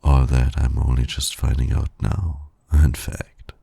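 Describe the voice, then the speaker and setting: Man playing a very deep, gravelly suave villain. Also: flat delivery, unhurried, mellow, no room sound, heavily acted. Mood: seductive, confiding. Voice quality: gravelly, breathy, husky. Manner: teasing, silky, formal and condescending. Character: suave male villain flirting with heroic nemesis. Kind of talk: ASMR romance roleplay.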